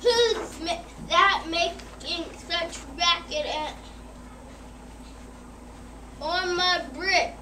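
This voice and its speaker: Slow, high-voiced mean troll played by a child. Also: sharp tone, exaggerated voice. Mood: demanding, threatening. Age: child, about eight or nine. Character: mean, rude old troll guarding his bridge. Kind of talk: school readers theater performance. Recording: echoey, noisy room, far from mic.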